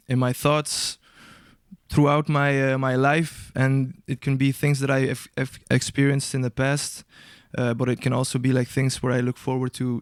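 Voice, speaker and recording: Smooth-voiced young man, hesitant and searching. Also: medium pitch, no room sound, clean studio sound. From Albania. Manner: hesitant, searching for words, many restarts. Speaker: guest musician. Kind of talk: casual interview answers.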